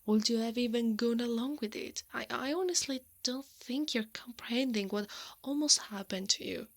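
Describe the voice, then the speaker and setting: A woman playing a brave, protective female knight in an intimate ASMR roleplay, softly and often in a breathy whisper. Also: fairly high pitch. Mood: concerned, scolding. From Italy. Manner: slow, intimate, hushed delivery.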